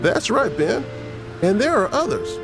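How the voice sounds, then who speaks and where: An actor playing a kindly, earnest adult storyteller, explaining things to children in an audio drama. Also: male voice, high voice, very animated, measured pace, full character voice. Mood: encouraging. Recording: loud music behind the voice.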